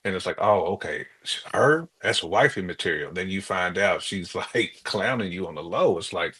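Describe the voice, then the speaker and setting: Slightly low-voiced American man chatting informally. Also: animated, moderate pace, sharp tone, husky. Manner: informal, slangy, conversational. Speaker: podcast co-host. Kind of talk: casual chat, giving opinions.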